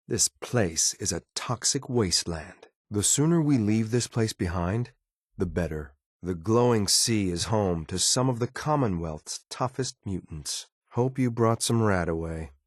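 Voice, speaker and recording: A man's voice: fairly low pitch, no room sound, clean studio sound. A man voicing a stern, loyal synth soldier for a video game, speaking in a clinical, coldly formal, clipped military manner. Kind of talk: scripted video game companion voice lines.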